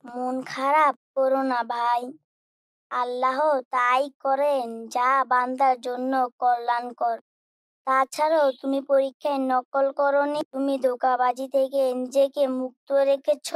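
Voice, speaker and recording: Woman voicing a high-pitched, earnest pious schoolboy. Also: flat delivery, measured pace, no room sound. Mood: earnest, consoling. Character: pious, polite, gentle schoolboy son, Raihan. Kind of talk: scripted Islamic cartoon dialogue.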